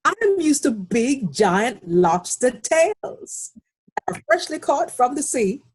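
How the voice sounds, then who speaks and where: A woman with a Jamaican accent giving an impromptu speech on an online call, slow and savoring in her descriptions. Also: sits high, sing-song, husky. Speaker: Toastmasters speaker. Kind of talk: impromptu table topics speech. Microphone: online video call.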